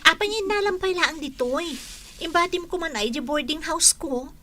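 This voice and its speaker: A woman's voice: extremely high pitch, normal speed, pushed and bright, a little hiss or hum. A woman acting a brash young female student in a radio drama, with an Ilocano accent. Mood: dismissive, irritated.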